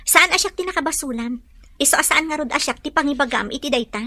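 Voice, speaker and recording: A woman's voice: high voice, fast, pushed and bright. A woman acting a principled, firm young maiden reproving her suitor in a radio drama, with an Ilocano Filipino accent. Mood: reproachful.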